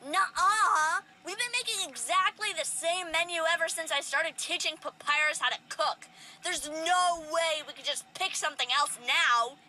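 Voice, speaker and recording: A woman in a fan audio drama playing a brash, hot-headed female fish warrior, loud and stubborn. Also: very high-pitched, moderate pace, slight background noise, exaggerated voice, rough recording.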